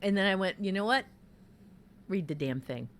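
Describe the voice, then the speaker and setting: A woman hosting an informal talk as a writing teacher, emphatic and halting, often repeating words for stress. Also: medium pitch, animated, no echo. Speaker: podcast host and fiction-writing teacher. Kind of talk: informal writing advice in conversation.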